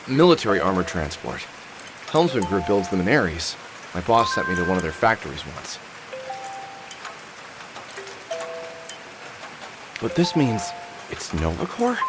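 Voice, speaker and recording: An actor playing a wry, world-weary middle-aged man in a video game, muttering sardonically as he thinks aloud. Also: fairly low pitch. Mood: puzzled, wry. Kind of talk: scripted game character monologue, thinking aloud. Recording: music under the voice. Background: music.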